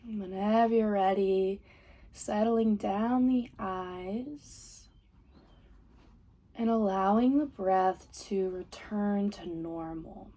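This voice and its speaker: Slow, mellow woman guiding meditation. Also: mid-range voice. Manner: soothing, unhurried, gentle instruction. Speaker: meditation and yoga teacher. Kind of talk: guided body scan meditation.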